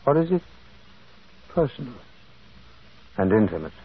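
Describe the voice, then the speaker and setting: Gentle shrewd old-radio priest sleuth, husky, lilting musing delivery, muffled recording. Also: male voice, medium pitch, very animated, a little slow. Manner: hesitant, musing, repeats words. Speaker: radio drama actor. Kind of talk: vintage radio mystery acting.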